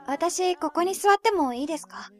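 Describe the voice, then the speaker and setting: A woman playing a polite, chatty high school girl visiting a cafe in a voice drama, speaking courteously. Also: big pitch swings, moderate pace, darkish tone, dry recording, faint music behind. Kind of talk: Japanese voice drama acting.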